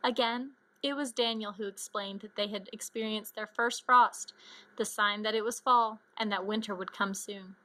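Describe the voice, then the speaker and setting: A woman's voice: medium pitch, fairly even intonation, moderate pace, pushed and bright, poor audio. A woman reading a children's storybook aloud as an amateur narrator. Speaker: amateur reader, choir member.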